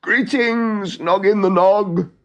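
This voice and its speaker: High-pitched, courteous giant bird. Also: male voice, slow pace, lo-fi sound. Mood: kindly, solemn. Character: giant, polite, grateful bird. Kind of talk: storybook character voice.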